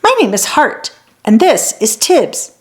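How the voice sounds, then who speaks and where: A woman's voice: a little high, sing-song, slow pace, voice pushed up. A woman voicing a polite, earnest young girl in a children's fantasy story. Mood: polite, hopeful.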